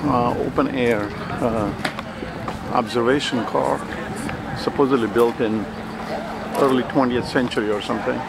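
A man at an ordinary pitch chats hesitantly to his own camera, stopping on many fillers. Heavy train noise sits loud under his voice. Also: steady tone, normal speed, gravelly, long pauses. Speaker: vlogger. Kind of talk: casual narration to camera. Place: train coach. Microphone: handheld camera.

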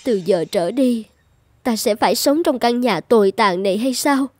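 A woman's voice: a little high, expressive, moderate pace, darkish tone. An actress playing a wronged, sorrowful young daughter and mother, plaintive and lamenting, in fairy-tale dubbing.

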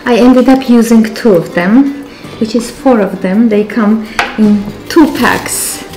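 A woman with a slightly high voice and as her hosts a casual cooking demonstration. She takes her time, murmuring little remarks to herself between instructions. The kitchen sounds roomy and echoey, with clear music and some clatter of pans behind her. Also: takes it slowly, darkish tone. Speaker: cooking show host. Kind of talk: casual cooking demonstration, talking while working. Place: home kitchen, indoors. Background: music, clatter of dishes and pans.

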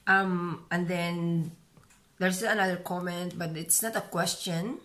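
Woman, 30s, Filipino accent, fairly low voice, relaxed home vlogger, chatty with fillers. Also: slow pace. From Philippines. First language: Visaya. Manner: chatty, many "you know" fillers and restarts. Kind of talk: casual vlog chat, reading comments. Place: bright room at home, indoors.

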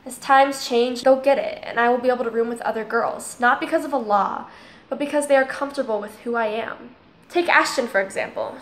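A woman acting as an earnest student girl in an audio drama. Her voice is fairly high, and she explains her principles in a measured, slightly preachy way. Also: lightly acted. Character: earnest, tolerant, principled transgender girl student named Holly. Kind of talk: scripted audio drama dialogue, speech-like monologue.

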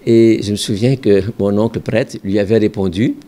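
An older man, a religious brother and school director from Ontario, Canada, calmly recalling his past in a measured, reflective way with some hesitations, seated at his office desk with a microphone in front. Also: fairly low pitch. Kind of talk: calm recollecting interview answers.

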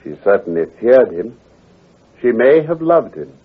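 An actor playing an assured, precise gentleman detective who explains with authority in a radio drama. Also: medium pitch, big pitch swings, measured pace, husky. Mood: precise, measured. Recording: tinny, hazy old radio recording.

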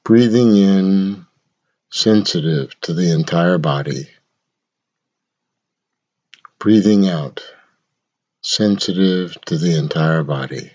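A man leading a guided meditation in a measured, instructional way, speaking in short phrases with long pauses. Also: low voice, darkish tone, muffled recording.

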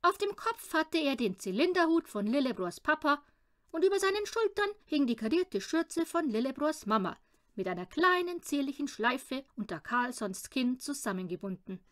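A woman with a high voice narrates a children's story. Her reading is expressive, with lively rises and falls throughout. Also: normal speed. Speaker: audiobook reader.

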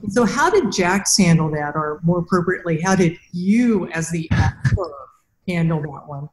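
A woman's voice: fairly low pitch, lively intonation, normal speed. A middle-aged woman interviewing conversationally as a podcast host over a video call. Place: indoor room, video call. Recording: roomy, echoing video-call sound.

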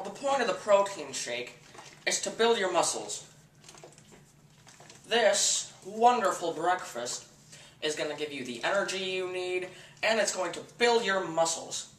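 A teenage boy hosts a joke cooking show in his home kitchen, walking through a silly recipe with straight-faced, earnest instructions. His voice is bright, and the camera mic catches it from a little way off, with the kitchen adding a roomy echo. A faint hum of household ambience sits underneath. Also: sits high. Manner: earnest instructional tone with absurd steps. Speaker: host of a home cooking parody. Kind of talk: deadpan mock cooking show. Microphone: camera mic across the kitchen. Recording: echoey kitchen, camera a bit far.

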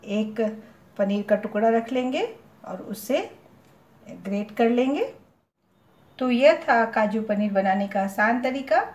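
A woman hosting her cooking channel, calmly talking through a recipe step by step and slipping English cooking words into Hindi. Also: fairly high pitch, slow, pauses often, reverberant.

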